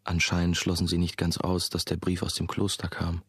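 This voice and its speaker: An actor playing a brooding monastery novice who narrates his own story in a measured, musing, dry way. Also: sits low, completely flat delivery, a bit bright, husky, dry recording, heavily acted. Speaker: narrator and main character. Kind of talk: first-person radio play narration.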